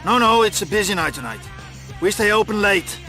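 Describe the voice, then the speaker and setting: A man's voice: sits high, expressive, a little fast, over music. A man playing a chatty, tipsy, leering harbour bar local in a video game, talking in simple clipped phrases. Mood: jovial, sly.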